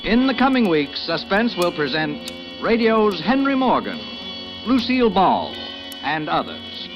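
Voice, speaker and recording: Formal old-time radio announcer. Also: male voice, high-pitched, wildly swooping pitch, a little slow. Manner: formal, declamatory. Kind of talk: closing announcements. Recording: dull old radio broadcast recording with music behind.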